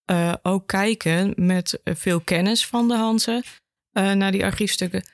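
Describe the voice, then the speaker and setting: Female podcast guest, medium pitch, conversational explainer, dry recording. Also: flat delivery, a little slow, dry recording. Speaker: education officer, podcast guest.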